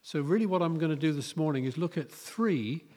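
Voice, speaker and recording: A British man preaching a sermon in a candid, conversational way, his voice hoarse and croaky. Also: fairly high pitch, lively intonation, fairly quick, warm tone. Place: church hall. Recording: long reverb of a church hall.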